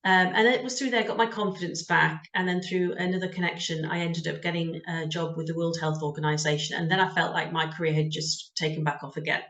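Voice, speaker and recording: A woman's voice: on the low side, steady tone, brisk, few pauses, a bit bright, reverberant. A middle-aged woman from the UK with a British accent, telling her story in a frank, conversational way as an interview guest, with frequent ums. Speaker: guest, job search coach.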